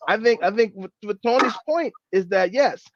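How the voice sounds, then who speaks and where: A man on a book club panel, talking in an animated way with halting restarts and playful retellings. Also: high-pitched, background noise. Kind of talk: casual panel discussion. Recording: dull, dry lo-fi sound.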